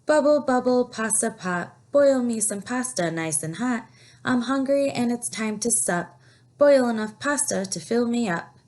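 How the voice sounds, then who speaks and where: A woman's voice: on the high side, expressive, measured pace, darkish tone, pitched above the performer's own voice. A woman voicing a firm old grandma witch from a storybook, giving instructions and chanting spells. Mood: singing spell.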